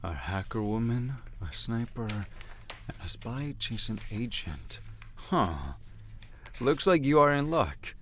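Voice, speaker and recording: A man acting as a gruff, domineering, businesslike henchman of a crime syndicate in an audio drama. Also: medium pitch, sing-song, slow, fairly bright tone, a little hiss or hum. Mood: probing, businesslike. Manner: blunt, domineering, no-nonsense.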